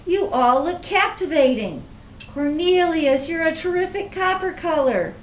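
An eager, plucky little caterpillar girl, voiced by a woman reading a storybook speaks high with big swoops of pitch. She is kind and exclaims a lot, in an echoey room. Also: expressive, unhurried, recorded from a distance, slight background noise. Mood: admiring. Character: young, friendly, plucky female caterpillar turned butterfly.

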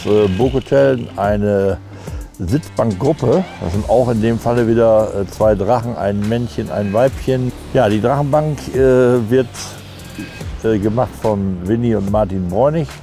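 A man's voice: fairly low pitch, dark tone, soft background music. A middle-aged man casually explaining an outdoor carving event, hesitant with lots of fillers. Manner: halting, many fillers. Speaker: event organizer. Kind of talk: casual interview. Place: outdoors at a woodcarving site.

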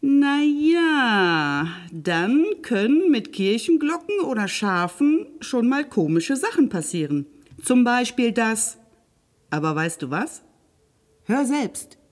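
Expressive woman storyteller. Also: on the high side, takes it slowly. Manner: playful, addresses the listener directly. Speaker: library storyteller and host.

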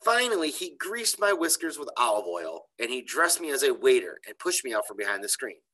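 A man's voice: mid-range voice, very animated, fairly quick, bright, forceful tone, breathy edge, poor audio. A man in his forties, a schoolteacher, reading a children's storybook aloud with expression. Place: bright indoor school room. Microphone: computer webcam on a video call.

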